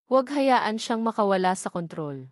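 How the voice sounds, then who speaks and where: A woman's voice: medium pitch, expressive, normal speed, dry recording, studio quality, close to an everyday voice. A woman with a Filipino accent voicing a cold, scheming older uncle, the villain of an audio drama. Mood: cold menace.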